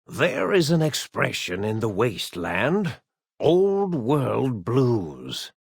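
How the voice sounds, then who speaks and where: An actor playing a grave storyteller, delivering solemn, measured, dramatic scripted narration for a post-apocalyptic video game in a gravelly, husky voice. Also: male voice, mid-range voice, big pitch swings, no room sound, studio quality, exaggerated voice. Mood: solemn, wistful.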